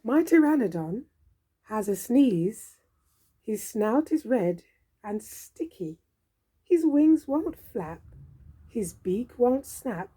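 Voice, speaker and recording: Female storybook reader for young children: theatrical, expressive, unhurried, with a breathy, husky voice. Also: fairly high pitch. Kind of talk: expressive children's read-aloud.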